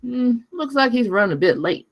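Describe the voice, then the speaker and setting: A woman's voice: a little high, very animated, moderate pace, lo-fi sound. A woman playing a brash, foul-mouthed young mechanic in a screenplay table read, casual, sarcastic and blunt.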